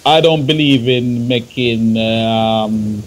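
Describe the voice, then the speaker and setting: Casting: older Jamaican man, opinionated talk show panelist, medium pitch. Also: takes it slowly.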